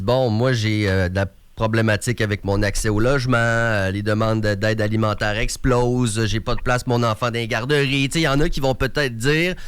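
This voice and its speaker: A male radio host who leads the interview in a measured, conversational way. His voice is smooth and resonant, and it sounds dry and close to the mic. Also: mid-range voice, dry recording. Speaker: radio morning-show host.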